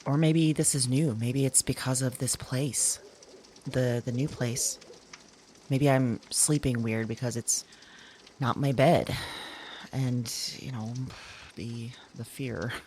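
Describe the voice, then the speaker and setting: A woman playing an anxious dreamer who records halting, confessional voice notes at night in an audio drama, often trailing off. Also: rumbling low, steady tone, a little mellow, a little hiss or hum. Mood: uneasy, hushed. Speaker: audio drama performer and narrator.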